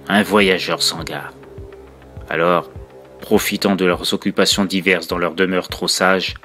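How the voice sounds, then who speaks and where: Man reciting as tormented narrator, low-voiced, morbid. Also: close to an everyday voice. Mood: morbid, anguished. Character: morbid, tormented child narrator with murderous urges. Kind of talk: dramatic horror poem recitation. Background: music.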